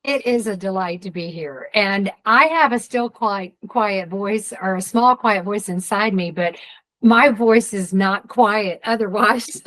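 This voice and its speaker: Middle-aged woman guest, lively, laughs a lot, mid pitch. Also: unhurried, poor audio. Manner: lively, laughs often, self-deprecating. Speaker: guest, executive trainer. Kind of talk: casual interview chat.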